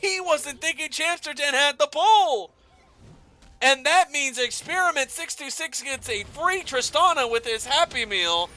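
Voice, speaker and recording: A man doing play-by-play esports commentary, excitable and playful, with dramatic phrasing and casual banter. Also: very high-pitched.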